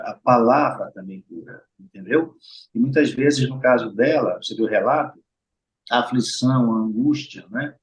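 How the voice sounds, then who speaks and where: A middle-aged Brazilian man speaks as a doctor being interviewed, at a medium pitch and in a steady, explanatory manner. He keeps checking in with short tag questions and talks straight to the listeners. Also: poor audio. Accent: Brazilian Portuguese. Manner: explains patiently, often adds tag questions and addresses listeners directly. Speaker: heart surgeon guest. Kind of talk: explanatory conversational interview talk.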